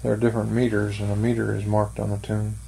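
An older man answering interview questions slowly and thoughtfully, with many pauses and fillers. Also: fairly low pitch, flat delivery, gravelly, warm tone, slight background noise, poor audio. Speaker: interviewee, church member. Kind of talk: oral history interview.

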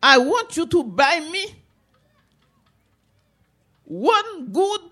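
A woman's voice: big pitch swings, extremely slow, sharp tone, full character voice. A woman playing a harsh, bossy auntie. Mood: ordering. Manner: shrill and scolding.

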